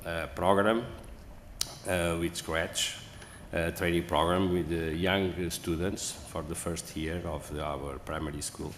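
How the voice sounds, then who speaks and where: Guy, very low voice, slow and halting, echoey big hall. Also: steady tone, a bit bright. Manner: halting, many fillers and restarts. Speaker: conference speaker. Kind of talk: hesitant presentation talk. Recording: echoey hall, faint room noise.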